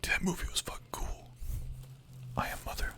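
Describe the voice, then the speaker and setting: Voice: fairly quick, pushed and bright. A young adult streamer whispering ASMR-style, chatting casually with chat, full of filler words and the odd swear.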